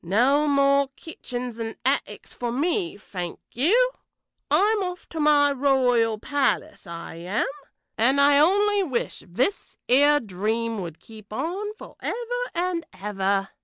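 A woman voicing a stubborn working-class London cook in a storybook, with emphatic, drawn-out colloquial speech. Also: sits high, very animated, a bit bright, smooth, no room sound, studio quality, voice pushed up, exaggerated voice. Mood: proud, contented. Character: stubborn, delighted working-class female household cook made queen.

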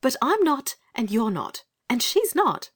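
An earnest young girl from a storybook, put on by a man narrating. The voice is high with big swoops of pitch, sounding eager and urgent. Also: sing-song, measured pace, a bit bright, exaggerated voice. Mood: reckless, insistent.

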